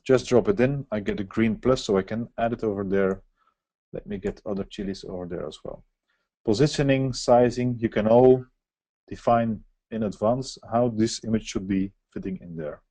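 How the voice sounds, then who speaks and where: Man for a webinar software walkthrough; explanatory, mellow tone, dry close sound. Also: medium pitch, lively intonation, normal speed, gravelly, dry recording. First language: Dutch. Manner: explanatory, walks through on-screen steps. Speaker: software presenter. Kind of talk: live software demo webinar.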